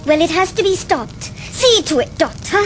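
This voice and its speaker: A woman's voice: very high voice, animated, moderate pace, over loud music. A woman playing a cute, spoiled, cruel princess in a video game, with a commanding, mock-sweet manner. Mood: imperious, impatient. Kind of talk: video game cutscene acting.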